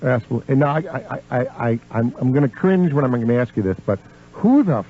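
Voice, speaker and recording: A man hosting a casual radio interview, excitable and quick to interject and agree. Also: mid-range voice, breathy edge. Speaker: radio show host. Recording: narrow phone-like radio audio.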